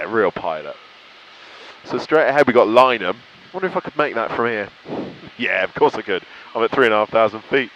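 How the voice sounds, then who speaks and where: A man's voice: on the low side, a bit bright, lo-fi sound. A British man chatting casually to viewers while flying, with an enthusiastic, reflective way of talking. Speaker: pilot vlogger. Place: cockpit of a small light aircraft in flight. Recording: clear engine noise in a cockpit.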